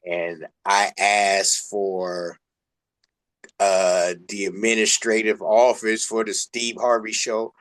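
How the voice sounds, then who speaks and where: Bright-voiced man hosting a music show. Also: fairly low pitch, little pitch movement, slow. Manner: informal, slangy, effusive. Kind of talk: casual interview chat.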